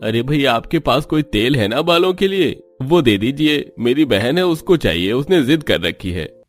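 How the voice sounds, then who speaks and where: Man playing chatty stall customer, eager, raised pitch, louder delivery, cartoon story. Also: very animated, normal speed, faint music behind, natural voice. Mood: requesting.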